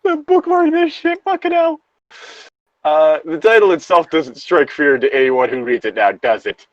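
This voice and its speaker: A man's voice: a little high, very animated, moderate pace, no echo, muffled recording. A man co-hosting a comic read-aloud, bantering casually and swearing with mock indignation. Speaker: co-host reading video game creepypastas aloud.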